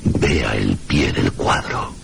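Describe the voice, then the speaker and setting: An actor with a Castilian Spanish accent playing a mysterious, scholarly gentleman guide in a radio drama, speaking formally and deliberately in a gravelly voice. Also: lively intonation, exaggerated voice. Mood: ominous. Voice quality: very deep, gravelly. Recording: noisy radio drama recording.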